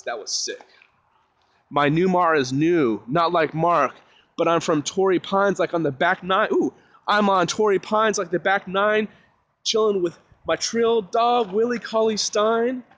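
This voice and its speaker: A man's voice: fairly high pitch, fairly bright tone. A man in his thirties walking outdoors with a phone at arm's length, chatting loosely and breaking into improvised rap. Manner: loose, rambling, breaks into rhythmic rap and laughs. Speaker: rapper and vlogger. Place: outdoors at an RV park by a lake. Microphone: phone held at arm's length while walking.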